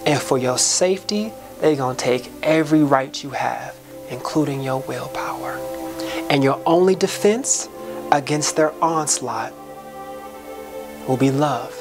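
A woman's voice: very deep voice, a little slow, music in the background. A woman delivering an inspirational spoken-word soliloquy in an impassioned, preacher-like way, with folksy, colloquial phrasing.

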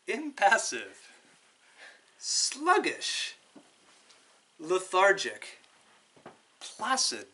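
A man voicing a placid, unflappable storybook sloth with a deliberate, halting delivery. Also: fairly high pitch, sharp tone, heavily acted.

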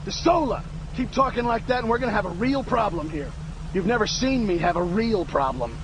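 An actor playing a stern, no-nonsense American army sergeant with a firm, authoritative manner in a war video game. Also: male voice, high-pitched, animated, a little fast, background noise. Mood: stern warning.

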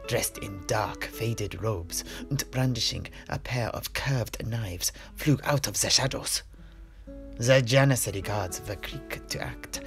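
A man playing a seasoned adventurer who recounts fantasy tales in deliberate, dramatic phrasing. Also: mid-range voice, creaky. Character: weary male adventurer from a fantasy Italian city, recounting tales. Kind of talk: in-character fantasy storytelling. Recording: music behind the voice.

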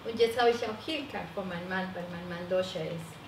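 A woman's voice: on the low side, a little fast. Woman, 40s, Colombian Spanish accent, earnest panel speaker, distant mic, noisy echoey room. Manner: warm, earnest, some grammar slips.

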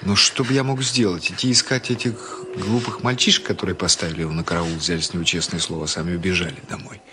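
Bright-voiced young man telling a tale. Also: low voice, fast, a little hiss or hum. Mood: thoughtful, concerned. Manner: expressive, conversational. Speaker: narrator and lead actor. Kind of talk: dramatised children's story narration.

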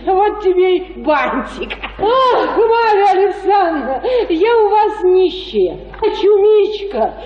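Vengeful household hanger-on for radio drama: extremely high voice, sarcastic, wounded, self-mocking. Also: female voice, reverberant, highs cut off.

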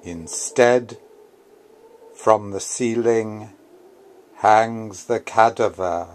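A man, an author, reading his own literary prose aloud in a deliberate, theatrical, incantatory manner. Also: medium pitch, a little mellow.

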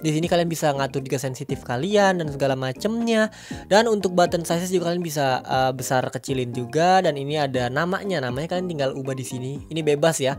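A young man with a stuffy nose from a cold, chatting casually and upbeat as he reviews a mobile game at home. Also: on the high side. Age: young adult. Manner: chatty and upbeat, lots of fillers. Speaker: gaming YouTuber. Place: home room with gaming chair.

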